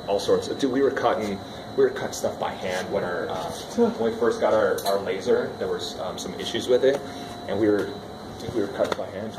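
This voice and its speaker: A man's voice: on the low side, brisk. A man in his thirties, co-owner of a clothing factory, giving a casual walk-and-talk tour and saying "dude" and "like" a lot. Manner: laid-back, says "dude" and "like" a lot. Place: large indoor workshop with sewing machines. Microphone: handheld camera following him. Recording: echoey warehouse with loud background noise.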